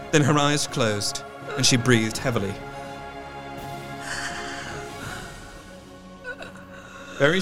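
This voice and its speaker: An actor playing a sorrowful, earnest doctor who narrates a gothic tale in measured, literary phrases. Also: male voice, a little high, natural voice. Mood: tense, grave. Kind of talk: dramatic scripted narration.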